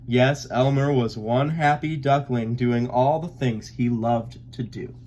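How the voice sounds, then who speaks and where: A man reading a picture book aloud as a storyteller, with theatrical, deliberate narration. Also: mid-range voice, fairly even intonation, darkish tone, slight background noise.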